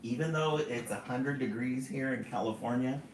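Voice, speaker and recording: A man's voice: medium pitch, normal speed, a little hiss or hum. A man in his forties hosting a casual live stream, chatting and reading out viewer comments. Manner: chatty, reads viewer comments aloud, laughs often. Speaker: livestream host. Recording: roomy echo.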